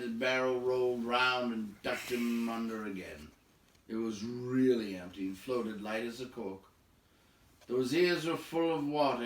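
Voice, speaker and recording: Echoey room, male storybook narrator, medium pitch, expressive phrasing, measured pauses. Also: slight background noise. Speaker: audiobook-style reader. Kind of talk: storybook narration read aloud.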